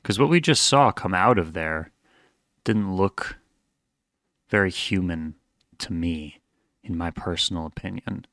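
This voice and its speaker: A man's voice: sits low, measured pace, long pauses, a bit bright, no echo, clean studio sound. A man giving casual, wry reaction commentary with joking asides, as the host of a reaction video.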